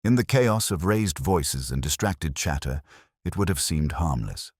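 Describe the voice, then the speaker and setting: Very deep-voiced man narrating a mystery. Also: animated, unhurried, darkish tone, dry recording, clean studio sound. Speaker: audiobook narrator. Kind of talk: scripted first-person mystery narration.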